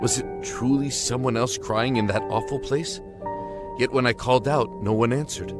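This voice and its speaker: A man narrating a scripted first-person dramatic story with deliberate, somber phrasing. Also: medium pitch, steady tone. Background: music.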